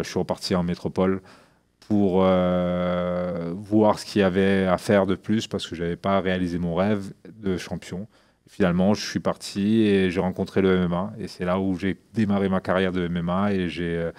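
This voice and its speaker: A middle-aged man from Réunion, answering interview questions with halting speech, many fillers and restarts. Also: deep voice, darkish tone. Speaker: MMA coach and former champion.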